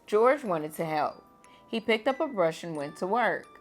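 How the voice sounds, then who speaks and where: A teacher reading a children's picture book aloud at bedtime, gentle and expressive. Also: female voice, medium pitch, a little slow, faint music behind.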